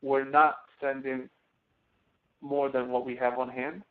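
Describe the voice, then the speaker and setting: A man's voice: medium pitch, flat delivery, measured pace. Man teaching over a tinny phone-like line. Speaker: college instructor. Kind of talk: online tutorial, explaining step by step. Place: online screen-sharing class session. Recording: tinny phone-like webinar audio.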